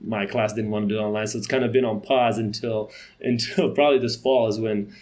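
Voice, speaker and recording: Quick-talking man from Romania. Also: lowish voice, fairly even intonation, a little mellow. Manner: quick, with restarts and laughs. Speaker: podcast guest, company CEO. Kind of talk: casual interview chat.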